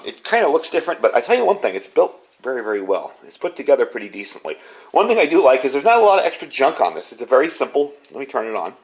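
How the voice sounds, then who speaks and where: A man giving a casual product review and demonstration, explaining things informally and with enthusiasm. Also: mid-range voice. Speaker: ham radio product reviewer.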